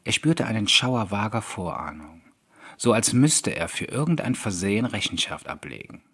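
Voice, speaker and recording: Low-voiced man narrating a horror story. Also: very animated, moderate pace, fairly bright tone, breathy edge. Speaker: audiobook narrator. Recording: background music under the voice. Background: music.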